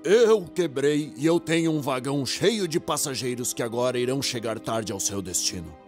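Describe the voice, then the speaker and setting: A man's voice: mid-range voice, expressive, normal speed, darkish tone, soft background music, close to an everyday voice. A man with a Brazilian accent voicing a proud, easily flustered big express engine in a children's cartoon dub, with a dramatic, hesitant delivery. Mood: glum.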